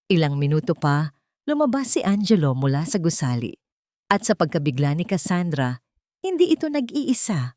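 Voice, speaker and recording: A woman's voice: fairly low pitch, darkish tone, no echo, studio quality. A woman narrating a scripted dramatic story as a storyteller.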